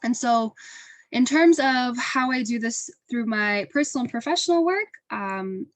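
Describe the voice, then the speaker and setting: A woman's voice: fairly high pitch, unhurried, no room sound. A young woman answering as a panelist in a reflective, conversational and personal way, earnest in her delivery. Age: young adult. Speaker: webinar panelist. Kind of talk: earnest spoken interview answer.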